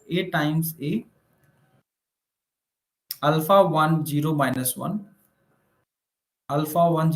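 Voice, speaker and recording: Male maths teacher, Indian accent, slightly high voice, informal explanatory delivery with frequent check-in tags, noticeably echoey room. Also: a bit bright. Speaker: maths teacher for exam preparation. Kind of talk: informal online lecture, working through problems. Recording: roomy, echoing room sound.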